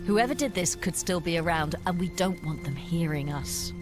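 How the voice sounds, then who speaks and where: A woman's voice: fairly low pitch, expressive, normal speed, music in the background, close to an everyday voice. A woman playing a wry, sarcastic fantasy adventurer in a video game. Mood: wary.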